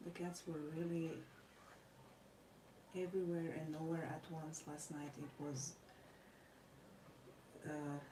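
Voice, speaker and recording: A tired woman from Croatia with a Croatian accent, chatting casually while she draws, speaking in measured, formal phrases with frequent pauses. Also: low-pitched. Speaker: artist vlogger. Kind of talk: casual chat while drawing. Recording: roomy, distant mic with noisy ambience.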